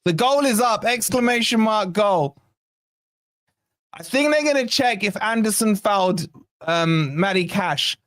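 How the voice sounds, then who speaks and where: A man hosting a fan channel's live watch-along, hoarse from shouting, giving excited, partisan play-by-play with swearing. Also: high voice, unhurried, no echo, clean studio sound. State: hoarse, losing his voice. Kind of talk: excited fan match commentary.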